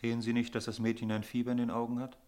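An actor playing a courteous, cryptic smuggler with formal speech in a radio drama. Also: male voice, mid-range voice, completely flat delivery, rapid-fire, dark tone, close to an everyday voice. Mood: solemn, cryptic. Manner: formal, polite, enigmatic.

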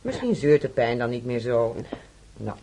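An actress playing a calm, caring senior nurse in a radio play, soothing and reassuring. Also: female voice, extremely low pitch, moderate pace, a little hiss or hum, heavily acted, rough recording.

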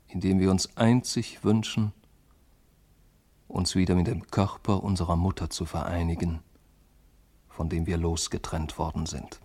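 An actor playing a weary, disdainful, brooding man in a literary radio play, with earnest, measured declamation. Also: low voice, a little mellow.

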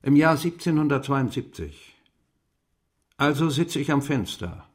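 An actor playing a reflective, world-weary French explorer of the eighteenth century in a German radio play, musing in measured, deliberate phrases. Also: male voice, medium pitch, close to an everyday voice. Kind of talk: German radio play monologue, journal reading.

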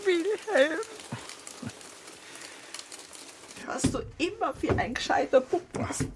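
A woman's voice: very high-pitched, expressive, moderate pace, noisy background. An actress playing a doting, rambling elderly mother with an Austrian accent in a radio drama, emotional and reproachful. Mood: moved, tearful. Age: older.

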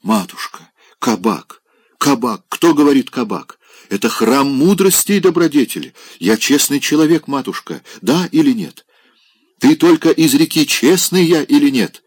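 A man's voice: fairly low pitch, animated, unhurried, dry recording, pitched above the performer's own voice. A man voicing a drunken, pompous old clerk who wails in churchy old-fashioned phrases. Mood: declaiming. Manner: churchy archaic phrasing, wailing.

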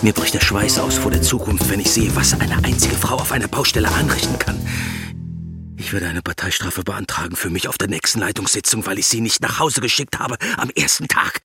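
An actor playing a blustering, exasperated construction site chief, ranting with bossy sarcasm in a radio play. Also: male voice, lowish voice, animated, a little fast, loud music behind the voice, close to an everyday voice. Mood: grudging, sarcastic. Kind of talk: theatrical radio play acting.

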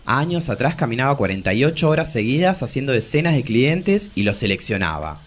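An actor playing a bawdy, melancholic travesti poet in their forties in a theatrical, confessional radio drama. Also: male voice, medium pitch, sing-song, brisk. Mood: weary, bitter. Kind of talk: radio drama dialogue and monologue.

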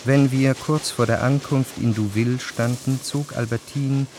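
A man narrating a literary radio drama as a reflective gentleman recalling his past, in a measured, articulate way. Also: medium pitch, fairly even intonation, a little hiss or hum, lightly acted. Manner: measured, articulate reading of long sentences.

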